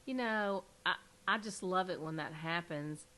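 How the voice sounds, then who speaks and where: A woman hosting an interview, hoarse from a cold. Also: on the low side, measured pace, sharp tone. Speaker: interview host. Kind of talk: casual interview chat.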